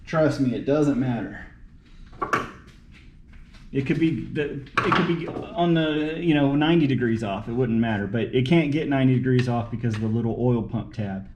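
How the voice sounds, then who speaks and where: A man's voice: medium pitch, normal speed, darkish tone. Folksy man talking in a noisy echoey space. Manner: folksy, muttering to himself and explaining to camera. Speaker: DIY car repair vlogger. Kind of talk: casual unscripted talk while working. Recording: echoey, noisy, clicking, uneven mic distance.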